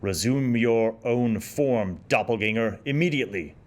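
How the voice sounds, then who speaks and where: A man voicing a stern, hot-tempered baron in a fantasy story, commanding and forceful. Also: mid-range voice, a little slow, close to an everyday voice. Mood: angry, commanding. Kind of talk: dramatic story reading.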